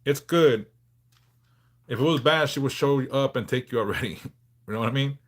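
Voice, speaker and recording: A man in his forties from Southern California, hosting a casual livestream and answering viewers in a frank, laid-back way with halting restarts. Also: medium pitch. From Los Angeles, California. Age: 40s. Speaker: livestream host.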